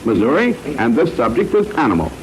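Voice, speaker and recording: A man's voice: medium pitch, huge pitch swings, brisk, poor audio. A man hosting a 1940s radio panel quiz, explaining the game to listeners. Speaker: quiz show host. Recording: old radio broadcast, faint noise.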